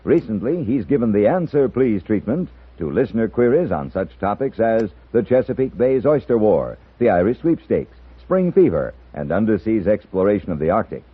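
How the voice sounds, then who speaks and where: Low-voiced vintage male radio announcer. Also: sing-song, moderate pace, few pauses, husky. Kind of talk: 1950s scripted radio promo. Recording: tinny old radio recording.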